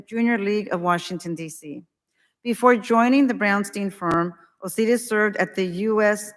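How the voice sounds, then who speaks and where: A woman hosts a conference, talking casually and loosely with plenty of fillers. Her voice carries a long reverb from the hall. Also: mid-range voice. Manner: chatty, loose, with many fillers. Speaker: conference organizer and emcee. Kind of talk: casual announcements and speaker introduction.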